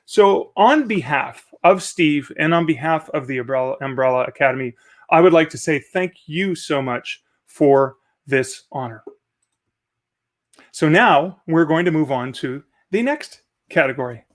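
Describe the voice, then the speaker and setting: A man's voice: on the high side, animated, unhurried. A man hosting a live-streamed awards ceremony over a video call, reading nominees in a jokey, upbeat way. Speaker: awards host.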